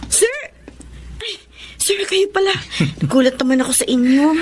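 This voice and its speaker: High-voiced young Filipino saleslady. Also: expressive, normal speed, a little mellow. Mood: flustered, apologetic. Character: young saleslady, earnest, proud but apologetic, overworked. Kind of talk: Filipino radio drama acting and first-person narration. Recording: music under the voice.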